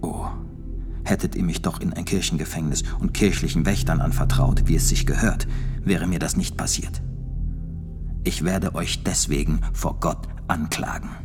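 Grave medieval friar, extremely deep, gravelly, slow solemn testimony, radio drama, music underneath. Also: male voice, fairly even intonation. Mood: sorrowful, intense. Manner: slow, solemn, deliberate recounting.

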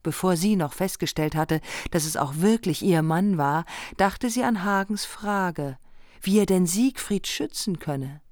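A woman's voice: lowish voice, animated, unhurried, darkish tone, no echo, clean studio sound. A woman narrating a heroic epic in a scripted radio play.

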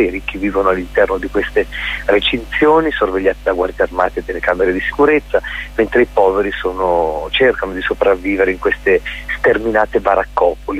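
Husky low-voiced man explaining his stage work. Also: dull audio. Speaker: theatre director and playwright, interview guest. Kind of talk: radio interview, explaining a stage production.

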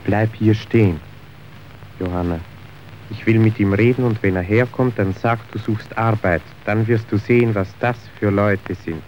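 An actor playing a slick, manipulative factory official in a radio drama, speaking in a measured, officious, persuasive way. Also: male voice, on the low side, lightly acted. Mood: cool, watchful. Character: slick, calculating male factory boss's agent, smoothly manipulative. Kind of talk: stage-like German radio drama acting. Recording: dull, noisy old radio recording.

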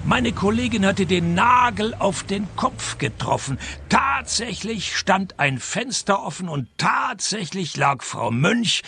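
An actor playing a wry male private detective in a radio crime comedy, speaking in a dry, ironic, deadpan way. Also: a little high, creaky, breathy edge, no echo, exaggerated voice. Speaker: narrator and lead actor. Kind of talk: scripted radio crime comedy with first-person narration.

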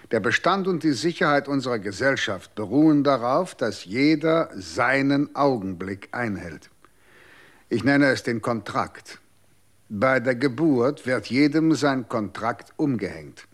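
An actor in a German radio play playing a formal, stern official who enforces a social contract. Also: male voice, mid-range voice. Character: male official guarding a deadly social contract.

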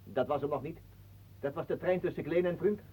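A man's voice: a little high, a little fast, dark tone, a little hiss or hum, natural voice. An actor playing a sly, teasing showman and storyteller in a theatrical radio drama. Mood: mocking. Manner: theatrical, insinuating. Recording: muffled, phone-like old radio recording.

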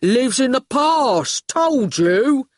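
Woman doing a gruff, terse giant of a goon. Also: sits high, big pitch swings, very slow, dry recording, studio quality, voice pushed up, full character voice. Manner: clipped, blunt phrases dropping words.

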